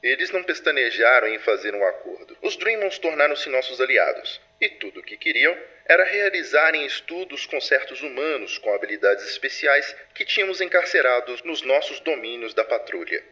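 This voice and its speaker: Casting: man to play authoritative secret-agency leader narrating files, formal and grave, sharp tone, lo-fi audio drama sound. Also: medium pitch, animated. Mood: formal, proud. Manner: formal, measured, solemn.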